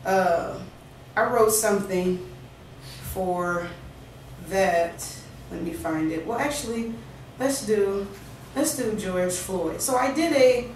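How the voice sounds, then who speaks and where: A woman performing spoken word poetry with a rhythmic, emphatic delivery and loose, conversational asides between pieces, at a small living-room poetry venue. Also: medium pitch. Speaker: featured poet. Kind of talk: spoken word poetry with casual stage banter. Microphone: room recording from a distance. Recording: very echoey room, distant mic, faint hum.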